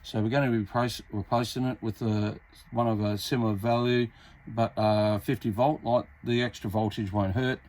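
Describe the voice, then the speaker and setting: An Australian man giving a casual hands-on repair tutorial, talking in a halting, unscripted, step-by-step way as he works. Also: on the low side, fairly even intonation, a little mellow. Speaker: hobbyist demonstrating a radio repair. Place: indoors at a repair workbench. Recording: clearly noisy amateur workbench recording.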